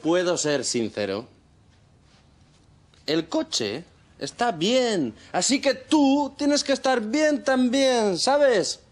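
An actor playing a scheming, money-loving young male neighbour in a slow, clear sitcom for learners. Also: very high voice, sing-song, full character voice. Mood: persuasive.

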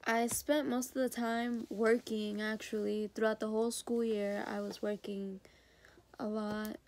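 Teen girl, hoarse from a cold, slightly high voice, soft hesitant talk, phone held at arm's length in a bedroom. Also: level tone, unhurried. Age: teens. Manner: hesitant, many ums, soft and low-key. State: hoarse, sick. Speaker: high school student. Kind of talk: casual video diary. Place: bedroom indoors. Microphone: phone or laptop camera at arm's length.

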